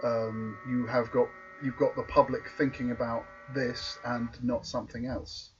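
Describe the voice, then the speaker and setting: A man's voice: medium pitch, level tone, measured pace, soft background music. A man co-hosting a podcast, explaining things in a casual, opinionated and sweary way. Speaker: podcast co-host. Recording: distant mic, dull lo-fi, faint noise.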